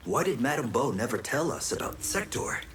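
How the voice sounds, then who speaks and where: An actor voicing an earnest, humble young champion in a fighting game, reserved in manner. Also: male voice, mid-range voice, sing-song, moderate pace, noisy background, lightly acted. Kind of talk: scripted fighting game dialogue.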